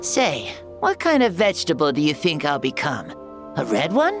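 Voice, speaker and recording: A woman voicing an innocent, wide-eyed girl who talks slowly and earnestly in a video game. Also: sits low, huge pitch swings, over music, heavily acted. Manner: slow and earnest.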